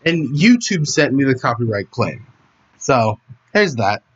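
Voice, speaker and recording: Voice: medium pitch, big pitch swings, unhurried, dull audio. A streamer with a whiny voice, chatting casually and rambling, with phlegm in the throat and sudden yelps. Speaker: live streamer.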